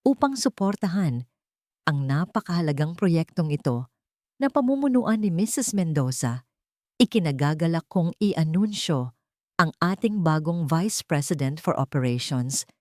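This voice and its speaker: A woman's voice: on the low side, very animated, moderate pace, a little mellow, no room sound. A formal chief executive read by a woman narrator delivers a grand announcement in a story drama. The accent is Filipino, the phrasing declarative, the recording dry. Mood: celebratory, formal. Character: formal male CEO making a grand public announcement.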